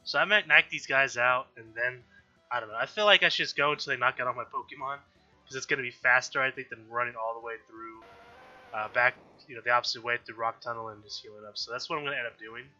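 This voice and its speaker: A man's voice: mid-range voice, fast, pushed and bright. A man with an allergy-stuffed, slightly nasal voice, casually chatting through a game he is playing as host of a let's-play video. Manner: chatty, frequent self-corrections and throat clearing. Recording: dull lo-fi audio with faint background music.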